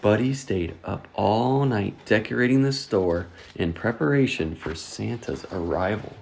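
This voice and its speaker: A storyteller reading a children's picture book aloud in an expressive, scripted way. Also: mid-range voice, measured pace, vocal fry, a little hiss or hum. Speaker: storytime reader.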